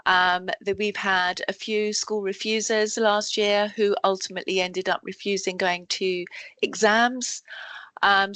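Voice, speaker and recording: Lady presenting at a work meeting on a video call, mid pitch, dry sound, lots of ums. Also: a bit bright, dry recording. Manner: halting, many ums and self-corrections. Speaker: education support officer presenting to colleagues.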